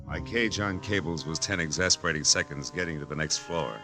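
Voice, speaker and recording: A man's voice: rumbling low, a little fast. An American man playing a tough, wisecracking private detective in an old radio drama, clipped and sardonic. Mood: grim narration. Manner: hard-boiled, clipped, sardonic. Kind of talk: 1940s-50s radio detective acting and narration. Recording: old radio recording with music.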